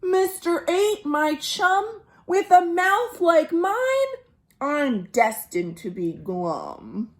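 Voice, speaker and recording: Woman playing a gloomy pouting male fish, slow-talking. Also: extremely high pitch, very animated, pitched above the performer's own voice, heavily acted. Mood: glum, resigned. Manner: mournful, rhyming, drawn-out delivery.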